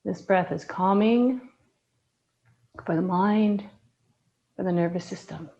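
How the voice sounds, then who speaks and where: Slow, soft-spoken woman guiding breathing. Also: mid-range voice, lo-fi sound. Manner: slow, soft, soothing guidance with long pauses. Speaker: yoga and breathwork teacher. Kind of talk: guided breathing meditation.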